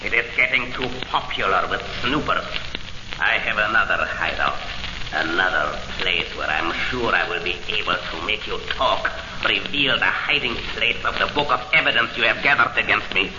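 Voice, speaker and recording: An actor in an old radio serial playing a cruel, commanding gang leader who gloats and gives menacing orders. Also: male voice, medium pitch, lightly acted. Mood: menacing, gloating. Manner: menacing, deliberate, barking orders. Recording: echoey, dull old radio recording with hiss.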